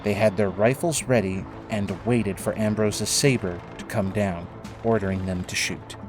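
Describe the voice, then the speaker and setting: A man narrating a scripted dramatic story in a measured, solemn way, with music behind him. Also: on the low side, fairly even intonation. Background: prominent music.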